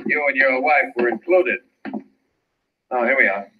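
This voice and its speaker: An actor playing a confident, wry police captain in an old-time radio drama, with a folksy, needling way of questioning. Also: male voice, medium pitch, a little slow, recorded from a distance, close to an everyday voice. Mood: breezy, assured. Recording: dull lo-fi old radio recording.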